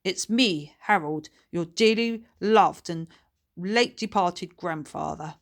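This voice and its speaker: A woman's voice: fairly low pitch, takes it slowly. A woman voicing the jovial, genteel ghost of a grandfather in a storybook, with courteous, old-fashioned phrasing. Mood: proud, genial.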